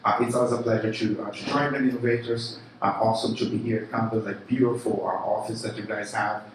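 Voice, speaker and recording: A man from Brazil with a Brazilian Portuguese accent, giving a casual, animated keynote talk full of fillers and restarts. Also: fairly low pitch, fast talker, slight background noise. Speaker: conference speaker, marketing chief. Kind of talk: keynote presentation. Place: large room at a live event. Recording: echoey room from a distance.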